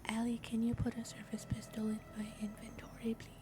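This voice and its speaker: A woman's voice: a little high, monotone, a little fast. A woman player in a tabletop roleplaying game, talking casually and in character with a sweary, theatrical way of putting things. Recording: background music.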